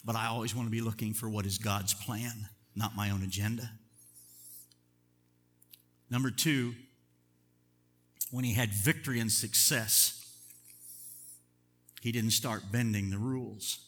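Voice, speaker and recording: A middle-aged man, a pastor, preaching a sermon in an earnest, measured way, slowing down to stress key words. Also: fairly low pitch, steady tone, fairly bright tone. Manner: earnest, measured preaching with deliberate slow emphasis. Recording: long reverb of a large hall.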